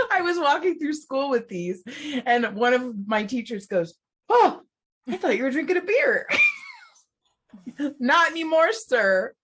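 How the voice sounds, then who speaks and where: Slow, reflective woman with halting speech. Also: sits high, big pitch swings, a bit bright. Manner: reflective, halting, restarts phrases, laughs between thoughts. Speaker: podcast co-host. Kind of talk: casual chat on recovery and spirituality.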